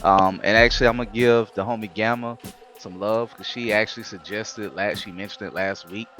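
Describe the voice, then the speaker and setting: A talkative man hosting a casual podcast, rambling through his opinions with animated asides. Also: fairly low pitch, a little fast, faint music behind. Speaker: podcast host. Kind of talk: casual chat.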